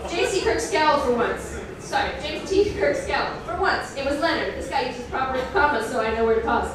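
High-voiced woman, echoey live comedy reading. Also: a little fast. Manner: self-deprecating, nerdy enthusiasm; mock-dramatic reading broken by deadpan commentary. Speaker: performer reading fan fiction on stage. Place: indoor live comedy reading event before an audience. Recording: roomy echo, distant mic, audience crowd noise. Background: audience laughter.

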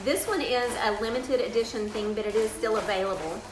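A woman in her thirties talks through products in a casual home review, filling pauses with ums as she explains. The room rings with echo. Loud background noise sits under her voice the whole time. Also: fairly high pitch. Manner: explanatory, hesitant with frequent ums. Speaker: co-host reviewing wellness products. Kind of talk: informal product review chat. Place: living room, sitting on a couch.

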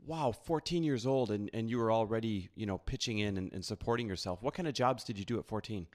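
A man's voice: lowish voice, quick pace. A man hosting a podcast, introducing his guest with enthusiastic praise and asking about her background. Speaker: podcast host. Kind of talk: upbeat podcast intro and interview.

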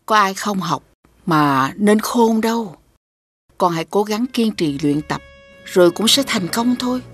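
Husky, patient village mother. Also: mid-range voice, expressive, measured pace, soft background music. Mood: encouraging. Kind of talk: animated fairy tale dubbing.

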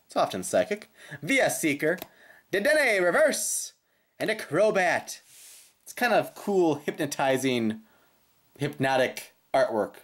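A woman with a slightly raspy voice doing casual, playful unboxing commentary with sing-song call-outs as a host. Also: deep voice, very animated, unhurried. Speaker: host of trading card pack openings. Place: indoors at a tabletop.

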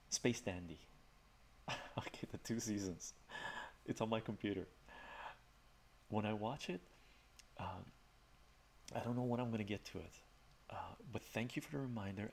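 Gravelly-voiced middle-aged man chatting. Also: fairly low pitch, a little hiss or hum. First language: Armenian. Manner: relaxed, rambling, many fillers and restarts. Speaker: livestream host. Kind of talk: casual soft-spoken chat with viewers. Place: home study with bookshelves.

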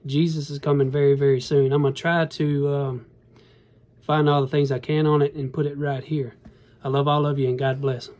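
Man in his thirties, slightly high voice, rambling folksy phone-camera vlogger. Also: level tone, normal speed, darkish tone, a little hiss or hum. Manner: rambling, earnest, folksy, addresses viewers as y'all. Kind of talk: casual talk to camera. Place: indoor bedroom. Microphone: phone camera at arm's length.

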